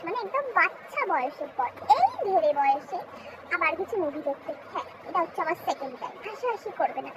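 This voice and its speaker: A young woman chatting playfully and self-mockingly in a casual vlog, her voice altered by a high-pitched filter. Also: very noisy. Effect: high-pitched voice-changer filter. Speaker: social media video creator.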